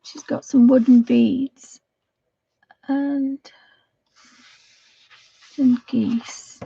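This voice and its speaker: A woman with a croaky voice hosting a casual livestream while colouring, talking softly and rambling, often half-whispered. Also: sits high, very slow, dry recording, lo-fi sound. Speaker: livestream host.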